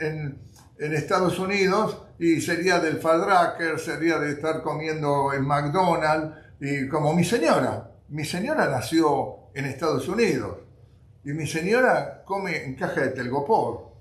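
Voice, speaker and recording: A man's voice: on the high side, animated, measured pace, reverberant. A man from Argentina with an Argentine accent, co-hosting a casual comedy chat show and rambling through self-mocking stories. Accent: Argentine Spanish. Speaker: co-host.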